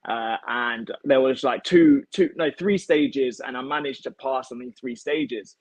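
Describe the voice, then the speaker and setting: A young man with a British accent speaks casually as a guest on a video call. His voice sits at an average pitch for a man. The sound is dry, with very little room in it. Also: a little slow, no room sound. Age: young adult. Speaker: guest, elite martial arts athlete. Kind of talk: casual chat, telling own story. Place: home kitchen, indoors. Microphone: device mic on a video call.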